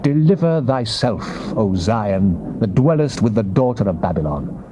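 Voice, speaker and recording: A man's voice: fairly low pitch, very animated, a little slow. A man giving a solemn, declamatory dramatized reading of the King James Bible as scripture narrator. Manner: solemn, declamatory, theatrical delivery. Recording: noisy old cassette tape.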